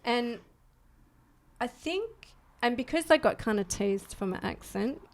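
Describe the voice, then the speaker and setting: A woman's voice: a little high, animated, a little slow. A woman with an Australian accent, a songwriting coach speaking reflectively with many fillers and restarts in a casual interview. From Scotland.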